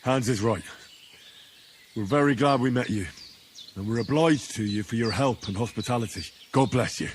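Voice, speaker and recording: An actor playing a modest young commoner hero who speaks plainly with a dry sense of humor, in a game cutscene. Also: male voice, mid-range voice, moderate pace, long pauses, a little hiss or hum, close to an everyday voice. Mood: grateful. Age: young adult. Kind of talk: video game cutscene acting.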